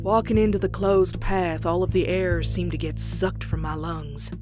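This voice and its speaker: A woman's voice: on the low side, fairly even intonation, normal speed, over music, natural voice. A woman voicing a plucky young country farm pony in a horror comic dub, with a folksy Southern US drawl. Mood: somber, uneasy. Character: young country farm mare, plucky, teasing, later uneasy narrator. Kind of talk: dramatic comic dub with narration.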